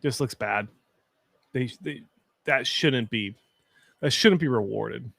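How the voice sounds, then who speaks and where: A young man with a deep voice, chatting casually and giving opinions while hosting a live-stream watch party. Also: slow pace, vocal fry, fairly bright tone, dry recording. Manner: chatty, opinionated, frequent fillers.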